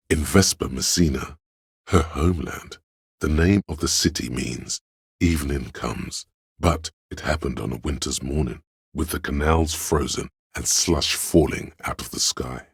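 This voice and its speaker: An actor playing an erudite, reverent inner voice of knowledge in a video game, narrating in a measured, grandiloquent way with a deep, gravelly voice. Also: male voice, no room sound, clean studio sound, exaggerated voice. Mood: solemn, scholarly. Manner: measured, grandiloquent, savoring words. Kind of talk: dramatic scripted narration.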